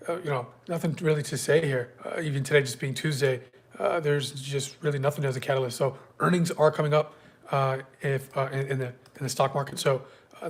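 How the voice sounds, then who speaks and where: A man with a stuffy nose from a cold, hosting a live trading stream in a loose, filler-heavy way and greeting viewers by name. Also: mid-range voice. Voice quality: slightly congested. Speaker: day trading livestream host. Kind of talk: casual live market prep walkthrough.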